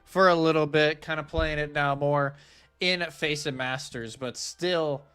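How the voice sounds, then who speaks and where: A man's voice: fairly high pitch, steady tone, a little slow, a bit bright, clear, resonant tone. A man working as an esports caster, giving a casual, conversational match preview with emphatic phrasing. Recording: music playing behind. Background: background music.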